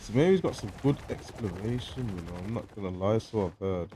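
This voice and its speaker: A man's voice: lowish voice, lively intonation, fairly quick. A man, a game streamer giving casual livestream commentary. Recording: noisy mic with clicks.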